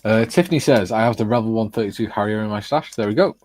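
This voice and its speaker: A man's voice: lowish voice, fast, a little mellow, slight background noise. A man hosting a casual live model-building stream, joking and reading viewer comments aloud. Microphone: phone.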